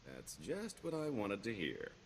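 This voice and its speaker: Wry, deep-voiced roguish schemer. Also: male voice, wildly swooping pitch, unhurried, slight background noise, full character voice. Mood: scheming. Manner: wry, wheedling, theatrical sarcasm. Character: roguish male career criminal, wry, boastful, scheming veteran. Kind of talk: video game dialogue.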